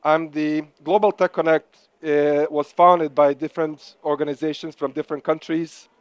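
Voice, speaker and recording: A man with a Croatian accent hosting a live startup pitching event, upbeat and encouraging. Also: level tone, normal speed, smooth, dry recording, highs cut off. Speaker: host.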